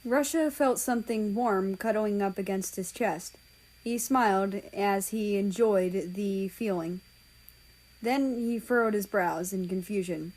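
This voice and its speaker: A woman's voice: mid-range voice, measured pace, a little mellow, low-quality recording. A woman reading a story aloud as scripted narration, an amateur audiobook reader.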